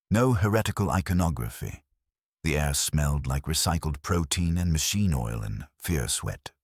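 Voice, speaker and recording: A very deep-voiced man reads a scripted dramatic story. His delivery is solemn, measured and clipped, yet his pitch moves in a lively way. The sound is dry and close. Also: husky, no room sound. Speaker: narrator. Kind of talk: scripted first-person dramatic story narration.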